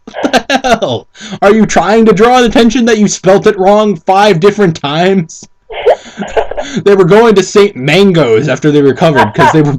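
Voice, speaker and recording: A man doing a mock dramatic reading with casual commentary, incredulous, sweary and theatrical. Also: sits high. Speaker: amateur commentator reading fan fiction aloud.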